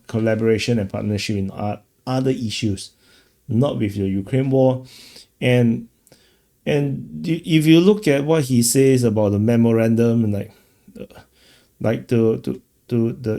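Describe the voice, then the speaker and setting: A man's voice: mid-range voice, dark tone. A man hosting an off-the-cuff political commentary, rambling casually and often repeating words as he goes. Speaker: commentator, show host.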